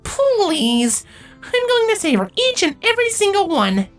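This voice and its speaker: A woman's voice: very high-pitched, huge pitch swings, unhurried, full character voice. A woman acting female cartoon pony and changeling characters in a story. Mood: eager. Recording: music behind the voice.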